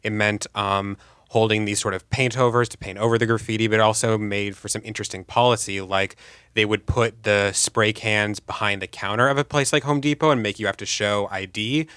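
A man's voice: on the low side, pushed and bright, clean tone, no echo. A man speaking conversationally as a guest playwright in a radio interview.

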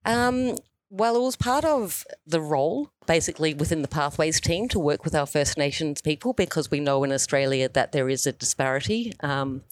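A woman's voice: low voice, animated, normal speed, no room sound. A woman answering interview questions as a teacher, in an earnest and thoughtful way. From New Zealand.

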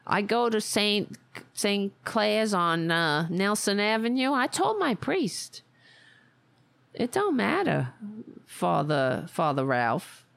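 A brash older widow from Staten Island, as a woman puts her on, with a thick Staten Island accent. She drawls slowly in a gravelly voice that swoops up and down. The sound is close and dry. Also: mid-range voice, big pitch swings, a bit bright, no room sound, heavily acted. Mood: mocking. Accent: Staten Island, New York. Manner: sarcastic, exaggerated, mocking persona. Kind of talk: satirical character monologue.

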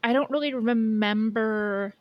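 A woman's voice: a little high, a little slow, no echo, dull audio. A woman co-hosting a podcast, chatting casually about pop culture and thinking aloud. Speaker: podcast co-host.

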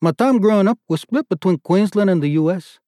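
A man doing a crisp young Australian-accented lieutenant. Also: sits high, a little fast, dark tone, no room sound, clean studio sound, voice pushed up, lightly acted. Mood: respectful. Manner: crisp and respectful, answers with "sir".

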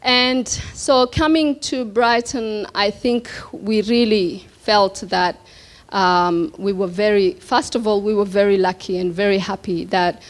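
A woman from Somalia gives a keynote talk at an evening event, speaking at a slow, unhurried pace. Her voice sits at a medium pitch. She pauses often and fills gaps with small hesitations as she tells her story. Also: fairly even intonation. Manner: slow, reflective, with many pauses and fillers. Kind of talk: personal story speech. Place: event hall before an audience.

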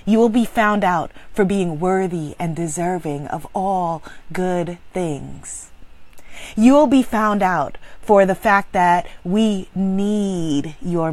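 A woman's voice: on the low side, darkish tone, no echo. A woman motivational speaker giving an inspirational talk to women, emphatic and exclamatory, with preacher-like repetition.

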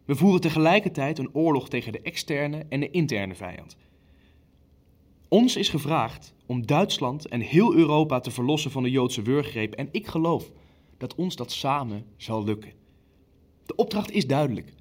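A man's voice: mid-range voice, lively intonation, normal speed, darkish tone, close to an everyday voice. An actor playing a zealous, commanding Nazi official in an audio drama, emphatic and decisive in his delivery. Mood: resolute, fanatical. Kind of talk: Dutch audio drama acting.

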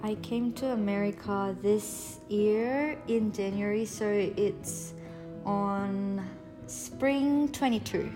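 A woman's voice: medium pitch, slow pace, over music. A woman in her twenties with a slight Australian accent, answering interview questions in English that is not her first language, with many "um"s and "like"s. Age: 20s. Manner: chatty, many fillers like "um" and "like". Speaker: interviewee, university student.

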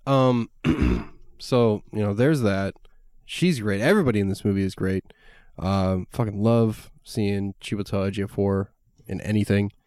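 A man's voice: fairly low pitch, a little slow, a little mellow, no room sound, clean studio sound. A young man chatting casually about a film, rambling with lots of fillers and swearing freely. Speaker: podcast co-host reviewing a film. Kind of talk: casual chat.

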